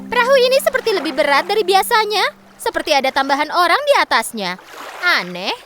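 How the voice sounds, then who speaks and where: A woman voicing a puzzled young prince who quickly wonders aloud to himself. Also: extremely high pitch, very animated, pitched above the performer's own voice. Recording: clear music bed underneath.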